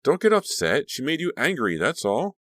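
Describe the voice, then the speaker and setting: An actor voicing a bossy, high-strung teenage girl who fusses in a bright, reassuring way. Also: big pitch swings, moderate pace, no echo, studio quality. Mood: soothing. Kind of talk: audiobook character reading.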